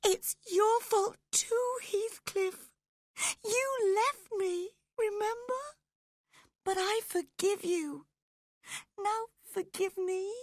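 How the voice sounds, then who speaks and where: A woman voicing a frail, dying young wife, passionate and desperate, with breathy, pleading drama, in a storybook reading. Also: on the high side, takes it slowly, a little mellow, no echo, studio quality, voice pushed up, exaggerated voice. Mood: sobbing remorse.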